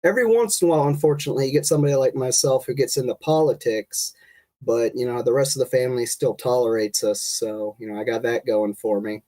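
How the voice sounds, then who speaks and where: Guest: congested man, mid-pitched, talking casually about his background. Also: fast talker, poor audio. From Kentucky. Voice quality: nasal from congestion, relaxed rambling delivery. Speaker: guest, state party chair. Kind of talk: casual podcast interview.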